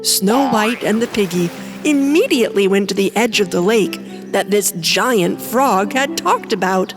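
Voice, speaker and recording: A woman's voice: mid-range voice, expressive, a little slow. A woman narrating a children's fairy tale as a scripted storyteller. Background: music.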